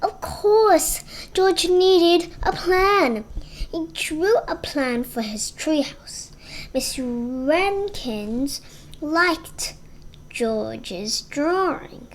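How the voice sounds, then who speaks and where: A young child reads a storybook aloud at a very slow, careful pace. The voice is high and lively, with plenty of rise and fall in the expressive delivery. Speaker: reader.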